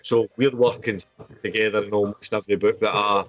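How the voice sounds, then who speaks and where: A man's voice: on the low side, steady tone, a little fast, no room sound, lo-fi sound. A Scottish man chatting casually and at length as a writer on a panel, circling back to stock phrases. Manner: rambling, repeats stock phrases. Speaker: indie horror author and anthology publisher. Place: remote group video chat.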